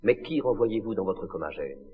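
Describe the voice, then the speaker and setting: A man's voice: lowish voice, lively intonation, moderate pace, narrow, tinny audio, lightly acted. An actor playing a king's loyal, deferential confidant in classical tragedy, declaiming formal verse earnestly. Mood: respectful, eager. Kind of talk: classical tragedy stage acting. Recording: long reverb of a large hall.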